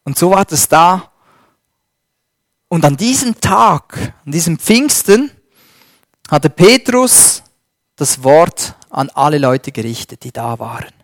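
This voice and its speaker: Slow, emphatic preacher man. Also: a little high, no echo. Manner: slow, emphatic, swings between loud stress and dropped voice. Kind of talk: sermon with Bible reading.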